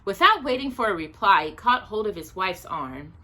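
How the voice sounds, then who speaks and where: A woman reading a children's book aloud in an expressive, deliberate way with drawn-out emphasis. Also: medium pitch. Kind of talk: expressive read-aloud children's story narration.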